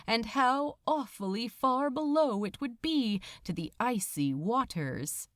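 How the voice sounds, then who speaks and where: A woman reading a story aloud as dramatic narration, theatrical and suspenseful with deliberate emphasis. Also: on the high side, animated, a bit bright, no echo, clean studio sound. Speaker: podfic narrator.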